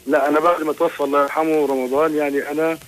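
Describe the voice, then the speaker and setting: A man's voice: on the high side, little pitch movement, rapid-fire, poor audio. A man answering a radio host's questions politely and briefly over the phone. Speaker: phone guest, brother of late singer. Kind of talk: phone interview.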